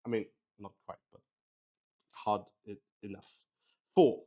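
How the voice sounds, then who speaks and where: Slow-paced man thinking out loud, halting. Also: lowish voice. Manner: halting, thinking aloud, repeats words; swears casually. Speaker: host playing a solo tabletop roleplaying game. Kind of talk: casual live-stream chat with game narration.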